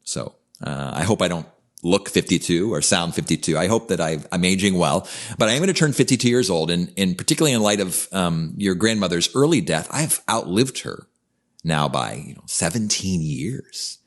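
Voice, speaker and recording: A man's voice: sits low, animated, normal speed. A man in his fifties co-hosting a podcast, talking in an earnest, reflective way in casual conversation. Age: 50s. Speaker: podcast co-host, coach.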